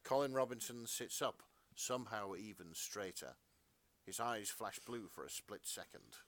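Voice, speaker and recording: A man's voice: lowish voice, lively intonation, unhurried, fairly bright tone, no room sound. A man co-hosting a podcast, narrating fan fiction melodramatically with casual asides.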